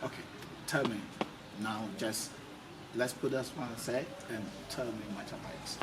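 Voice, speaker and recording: Man, casual interviewer, medium pitch, agreeable interjections, echoing phrases, off-mic, noisy recording. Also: steady tone, measured pace. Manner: echoes the guest's words, interjects agreement. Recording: distant mic, clearly noisy background.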